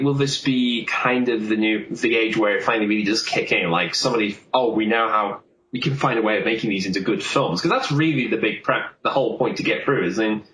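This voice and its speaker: A man's voice: lowish voice, fairly bright tone. Man chatting in an echoey room. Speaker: podcast co-host. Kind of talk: casual film discussion. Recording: roomy, echoey sound with faint noise.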